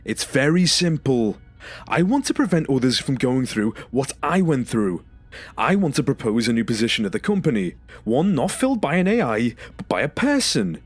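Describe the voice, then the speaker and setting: A man's voice: medium pitch, very animated, moderate pace, husky, faint music behind. A man playing a traumatised, confrontational former stasis patient in an audio drama, speaking emphatically and demanding accountability. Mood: resolute.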